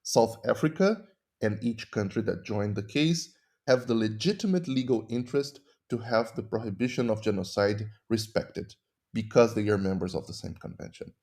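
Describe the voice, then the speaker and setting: A man with a Brazilian accent, a native Portuguese speaker, hosting a podcast and explaining legal ideas in measured, teacherly narration. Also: lowish voice, darkish tone. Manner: measured, didactic. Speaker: podcast host and human rights lawyer.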